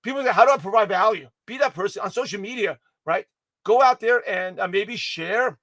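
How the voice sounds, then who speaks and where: A man with a high, quick, slightly hoarse voice delivers a motivational pep talk straight to his viewers. He rambles energetically, corrects himself mid-word, and keeps circling back to his point. The sound is dry and close, with no room around it. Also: no room sound. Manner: energetic, rambling, with many restarts and self-corrections. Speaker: motivational speaker giving a daily pep talk.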